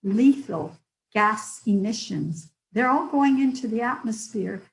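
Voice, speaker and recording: An older woman with a hoarse voice, giving an earnest public lecture with stories and the odd filler. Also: fairly high pitch, expressive, unhurried, reverberant, slight background noise, poor audio. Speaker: guest lecturer.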